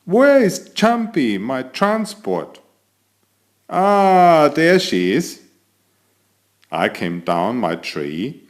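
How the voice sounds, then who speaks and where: An elegant storybook tomcat played by a man who is very pleased with himself. He speaks slowly, with pitch that rises and dips freely, and talks straight to the children listening. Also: big pitch swings, exaggerated voice. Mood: cheerful, flattering. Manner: self-admiring, theatrical, addresses young listeners directly. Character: elegant, vain, chatty tomcat telling his adventures. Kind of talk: animated children's picture-book narration.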